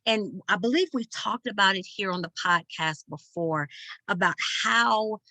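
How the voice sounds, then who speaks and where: A woman's voice: mid-range voice, pushed and bright, dry recording, poor audio. A woman hosting a podcast, speaking earnestly and emphatically in flowing, repeated phrases. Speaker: podcast host. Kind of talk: upbeat podcast hosting, speaking of gratitude and introducing a guest.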